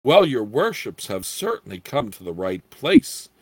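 A man voicing a small old gnome proprietor from a fantasy storybook, squeaky, eager and easily indignant, with fussy, formal sales patter. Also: wildly swooping pitch, unhurried, gravelly, slightly rough, no room sound, heavily acted. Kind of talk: fantasy storybook character reading.

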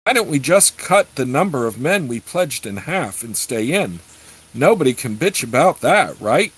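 A man's voice: mid-range voice, very animated, normal speed, a little hiss or hum, close to an everyday voice. A man voicing a persuasive, chummy rogue who leads a band, in a storybook reading. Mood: placating. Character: smooth-talking, persuasive male rogue leader of beggar band.

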